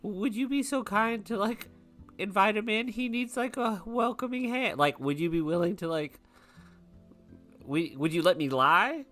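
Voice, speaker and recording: Tabletop game role: woman as mischievous, slangy adventurer, very animated pitch. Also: unhurried, faint music behind. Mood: cheeky, casual. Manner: casual, slangy, cheeky. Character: brash, irreverent, mischievous friend of a vampire. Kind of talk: improvised tabletop roleplay.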